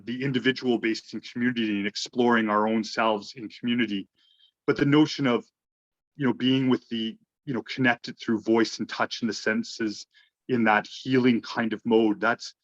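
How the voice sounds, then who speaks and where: An earnest man speaks reflectively, circling back and restarting phrases as he feels his way toward what he means. His voice sits at a middling pitch. The recording is dry and slightly dull, like a lo-fi call. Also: no room sound. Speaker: guest in a group conversation. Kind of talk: reflective casual conversation.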